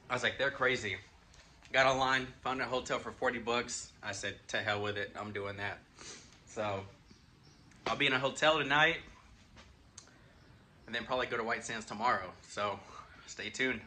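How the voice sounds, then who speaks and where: A man's voice: mid-range voice, fairly bright tone. A man in his twenties vlogging casually to a phone camera at arm's length, chatty and laid-back with loose fillers. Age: 20s. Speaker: road trip vlogger. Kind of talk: casual vlog talk to camera. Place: inside a pickup truck and a motel room. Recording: distant mic with road noise.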